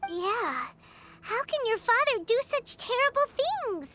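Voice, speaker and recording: A tiny, childlike fairy companion, with an extremely high, bright voice that swoops all over. She is excitable, cutesy and blunt, in the manner of an anime video game sidekick. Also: a little slow, slight background noise, exaggerated voice. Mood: disapproving.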